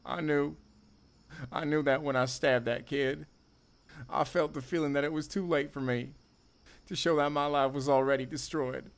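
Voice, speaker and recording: An actor playing a remorseful drifter confessing a violent past in audio theater, speaking haltingly and broodingly. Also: male voice, on the high side, steady tone, close to an everyday voice. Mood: anguished confession.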